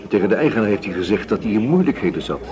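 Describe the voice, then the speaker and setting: Guy acting a calm police inspector, radio play, voice swings up and down, busy cafe crowd behind. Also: lowish voice, natural voice. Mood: calm, inquisitive. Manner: measured, questioning, murmured acknowledgements.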